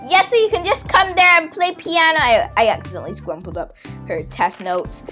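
High-pitched young girl gaming host, chatty. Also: moderate pace, sharp tone, faint music behind. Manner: chatty, exclamatory, addressing viewers. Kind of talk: casual playful game tour commentary.

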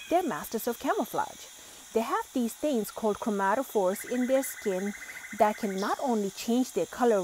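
A woman reads a children's picture book aloud in a slightly high voice. She is expressive and grows animated on the exclamations. A faint hiss sits under the recording. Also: low-quality recording. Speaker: storybook reader.

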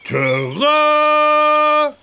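A man voicing a big, good-natured, childlike talking elephant with a gravelly voice in a children's game. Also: extremely high pitch, sing-song, drawn-out words, pushed and bright, dry recording, full character voice. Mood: triumphant. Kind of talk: children's educational game voice acting.

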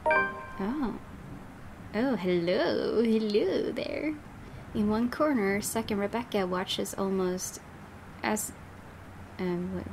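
A woman's voice: medium pitch, background noise. A woman hosting a let's play, reading game narration aloud as dramatic storytelling, with asides. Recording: loud game ambience behind the voice.

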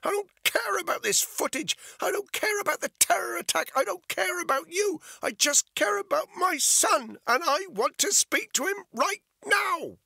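A man's voice: animated, moderate pace, vocal fry, few pauses, sharp tone, no echo, exaggerated voice. A man voicing a desperate, frightened mother who screams and pleads with a gun in her hand. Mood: desperate screaming. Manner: screaming, shrill and frantic.

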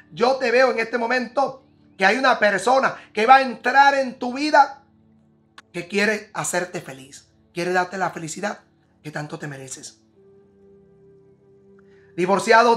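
A man's voice: high-pitched, fairly bright tone. A man giving a tarot prediction, repeating and rephrasing each line with emphasis. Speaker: tarot reader.

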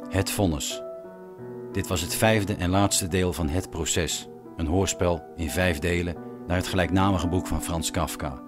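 A man narrating a radio drama in a solemn, measured, didactic way, as a stern storyteller. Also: sits low, fairly even intonation, music in the background. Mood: grave narration. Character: stern, measured male prison chaplain telling a parable. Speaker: narrator, actor and director of a radio play.

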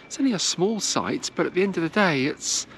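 A woman's voice: fairly low pitch, expressive, brisk, rough recording. A middle-aged woman giving walking-tour commentary at castle ruins, talking unhurriedly with many hesitations into a camera held at arm's length. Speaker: travel vlogger. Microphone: handheld camera at arm's length. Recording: outdoor noise in the background.

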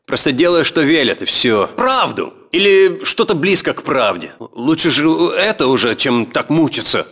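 A bored game guard who needles his comrades. His talk swings widely in pitch, high and bright. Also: sing-song, moderate pace. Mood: teasing. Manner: teasing, sarcastic banter. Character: chatty mocking male guard, idle and sarcastic. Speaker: game character voice. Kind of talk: video game idle banter.

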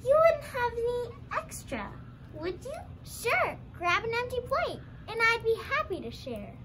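Young woman acting comic puppet character, very high voice, lively swings, faint background noise. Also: a little slow, heavily acted. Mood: friendly, sly. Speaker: student puppeteer. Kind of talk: scripted puppet show acting.

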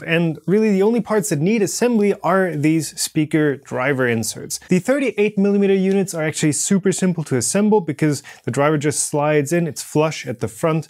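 A man's voice: on the high side, a little mellow. A man in his thirties presenting a hands-on maker tutorial in a casual, explanatory way. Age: 30s. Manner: informal explanatory, hands-on demonstrating. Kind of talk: casual instructional product walkthrough. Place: indoor home studio workshop. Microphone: lapel mic.

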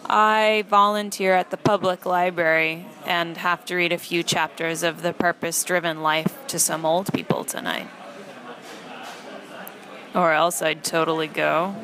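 Low, slow, deadpan young woman. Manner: dry, deadpan. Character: deadpan, sardonic young female fast-food trainee narrating. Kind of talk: first-person audio play narration.

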